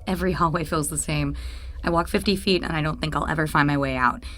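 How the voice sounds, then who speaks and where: Anxious young lab scientist, lower-pitched. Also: female voice, a bit bright, slight background noise. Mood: uneasy, polite. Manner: anxious, halting, stammering under stress. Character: nervous young woman scientist, new at a secret lab. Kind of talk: audio drama acting.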